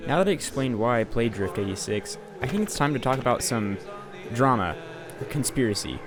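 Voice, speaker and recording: Young man for voice-over game review: sarcastic, profane, mid-pitched, soft music bed. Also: lively intonation, brisk. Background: faint music.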